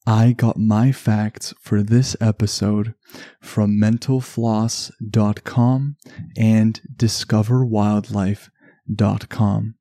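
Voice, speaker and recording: A man with a Canadian accent hosting a calm relaxation podcast, speaking in a soothing, unhurried way. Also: lowish voice, no room sound, studio quality. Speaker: podcast host. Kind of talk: slow relaxation podcast narration.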